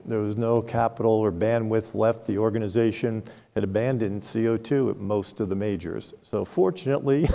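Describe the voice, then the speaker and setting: A man's voice: fairly low pitch, gravelly, warm tone. A man speaking frankly on a conference panel, explaining with examples, recorded in a reverberant hall. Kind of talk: unscripted panel discussion.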